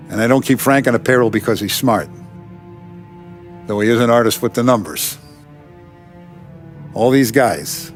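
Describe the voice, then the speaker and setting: An actor playing a paternal, authoritative Italian-American mafia boss in a 1930s crime game, speaking deliberately. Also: male voice, medium pitch, lightly acted. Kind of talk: cinematic video game acting. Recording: music behind the voice.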